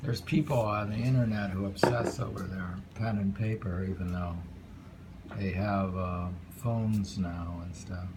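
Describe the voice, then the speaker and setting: A man reading haiku aloud in a deliberate, musing way, pausing between poems and adding casual asides. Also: low voice, fairly even intonation. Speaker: poetry reader. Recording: very audible background noise, homemade recording.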